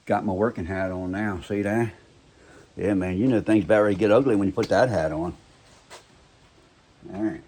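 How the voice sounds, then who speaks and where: Low, gravelly man muttering through restoration work in a noisy home garage; casual hobbyist host. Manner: quiet, mumbling while working. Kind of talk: casual workshop talk to camera.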